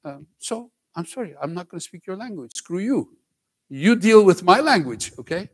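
An older man with a light Turkish accent speaks from a large auditorium stage in a somewhat high voice. He lectures in a loose, joking way and keeps tagging his points with "okay?". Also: sing-song, moderate pace, husky. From Turkey. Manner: conversational, keeps asking "okay?", jokes with the crowd. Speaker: professor giving a talk to students. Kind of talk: lively lecture to a school audience.

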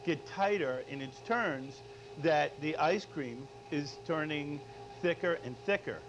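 Middle-aged man, higher-pitched voice, cooking instructor. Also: takes it slowly. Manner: frequent tag questions "all right?". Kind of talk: cooking demonstration, unscripted instruction. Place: studio kitchen. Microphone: lapel mic. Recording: dull lo-fi audio, background noise.

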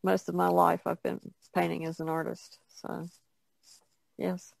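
A woman who paints, chatting casually in a halting, thoughtful way. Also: fairly low pitch, flat delivery, creaky, darkish tone, no echo, low-quality recording. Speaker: painter being interviewed. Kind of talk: casual chat.

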